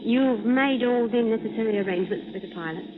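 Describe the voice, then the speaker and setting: An actress playing a dainty, sassy young undercover agent, coy and self-assured, in a 1940s radio adventure. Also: female voice, on the high side, quick pace, noisy background, natural voice. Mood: businesslike. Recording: tinny old radio recording, music behind.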